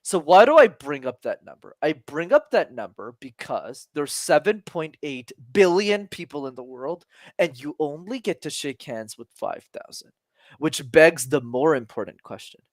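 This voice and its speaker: Lively young man, animated podcast talk. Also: a little high, moderate pace, no room sound. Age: 20s. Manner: energetic, emphatic, swears casually, uses analogies. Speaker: podcast guest, communication coach. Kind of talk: casual podcast conversation.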